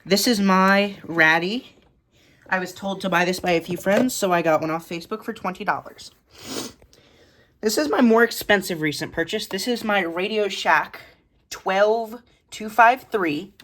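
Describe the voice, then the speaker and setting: A woman's voice: mid-range voice, measured pace, a bit bright. A woman giving a casual, matter-of-fact show-and-tell of a hobby collection in short, clipped phrases, recorded indoors at home.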